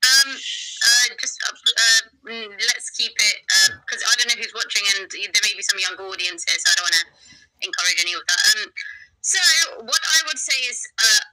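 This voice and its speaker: A woman's voice: mid-range voice, moderate pace, sharp tone, poor audio. A woman hosting a live panel over a video call, talking hesitantly and reflectively about her own views. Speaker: panel host.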